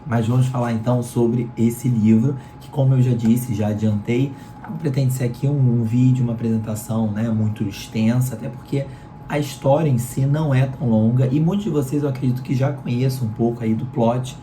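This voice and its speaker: A man with a Carioca accent, hoarse from a cold, giving a chatty book review. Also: medium pitch, warm tone, a little hiss or hum. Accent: Carioca Brazilian Portuguese. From Rio de Janeiro, Brazil. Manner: chatty, leans on "né?" tags. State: hoarse, sore throat from a cold. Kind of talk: casual book review talk. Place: room at home in Rio. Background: electric fan running.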